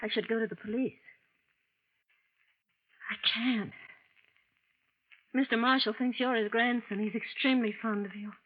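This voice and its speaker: An actress playing an anguished, conscientious young caregiver, earnest and dramatic in a 1940s radio melodrama. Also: female voice, a little high. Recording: tinny, noisy old radio recording.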